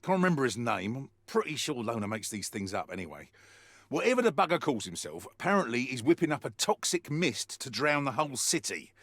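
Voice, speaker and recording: An actor playing a wry, world-weary mercenary soldier in a video game, with a colloquial, grumbling delivery. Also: male voice, medium pitch, very animated, a little fast, fairly bright tone, no echo. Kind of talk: scripted in-character mission briefing monologue.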